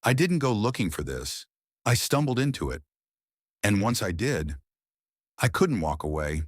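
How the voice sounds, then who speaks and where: A male narrator voicing a tired, haunted man in an audiobook thriller, speaking in a hushed, grave way. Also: fairly low pitch, big pitch swings, unhurried, husky, no echo, studio quality, exaggerated voice. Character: weary, haunted man with a secret.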